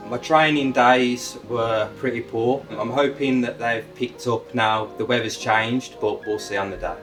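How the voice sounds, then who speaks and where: A man's voice: mid-range voice, steady tone, a little slow, fairly bright tone. A man from Birmingham giving brief, matter-of-fact interview answers. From Birmingham, England. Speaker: angler competitor. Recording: distant mic, faint music behind.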